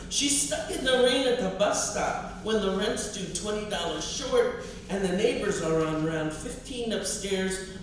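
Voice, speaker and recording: A woman poet speaks at a live reading with slow, expressive delivery and firm emphasis. She sounds distant, in a very reverberant indoor space with clear background noise. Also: medium pitch. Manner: expressive, emphatic, with repetition for effect. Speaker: poet and professor. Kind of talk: live poetry reading and audience talk. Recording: distant, very echoey, noisy room.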